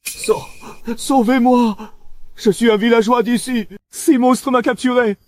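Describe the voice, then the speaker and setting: A woman voicing a cowardly villager captive who pleads, in a dubbed French animated series. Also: a little high, a little slow, no echo, lightly acted. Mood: pleading.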